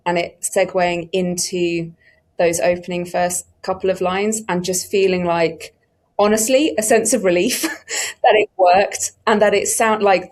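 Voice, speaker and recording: A woman's voice: on the low side, a little mellow. A woman telling casual anecdotes on a discussion panel in an informal, enthusiastic way. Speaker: production team member on a panel.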